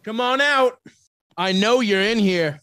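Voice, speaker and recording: A man's voice: high voice, a little slow, sharp tone, a little hiss or hum. A man playing a melodramatic, self-pitying betrayed husband in an old-time radio comedy mystery. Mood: accusing. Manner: melodramatic, exclamatory.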